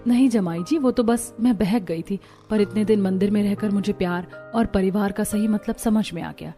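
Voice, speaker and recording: A woman's voice: medium pitch, normal speed, dark tone, soft background music, natural voice. A woman playing a caring, dutiful young sister-in-law in a cartoon family story. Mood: sincere.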